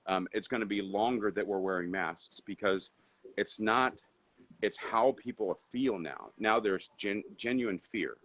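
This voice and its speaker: A man with a fairly low voice, from Indonesia and a native Indonesian speaker, chats as a guest over a video call. He talks loosely and rambles, stacking "um"s and restarting his sentences. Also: measured pace, fairly bright tone. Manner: rambling, filler-heavy, restarts often. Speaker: guest entrepreneur. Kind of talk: casual live interview chat.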